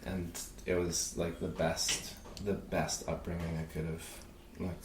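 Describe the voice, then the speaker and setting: A man in his thirties who grew up in Peru, a podcast guest speaking haltingly with many fillers and restarts. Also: sits low, completely flat delivery. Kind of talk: casual conversation. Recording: echoey room with faint noise.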